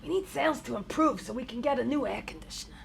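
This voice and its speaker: A woman's voice: high voice, animated, fast, gravelly, a little hiss or hum, exaggerated voice. A woman playing a harried, exasperated divorced bar owner in his fifties, in a live comedy table read. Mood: bossy, businesslike.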